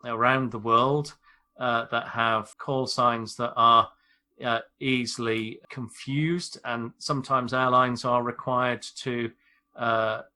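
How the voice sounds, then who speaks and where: A British man, an airline pilot, explaining things in a measured, informal way on a podcast. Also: medium pitch, pushed and bright. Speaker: airline pilot, expert guest.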